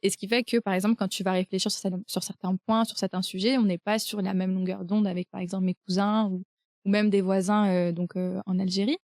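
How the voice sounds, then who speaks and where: A woman's voice: medium pitch, little pitch movement, fast talker, darkish tone, no echo, clean studio sound. A young woman from France with a French accent, hosting a podcast conversation and talking casually with frequent hesitations. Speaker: podcast host.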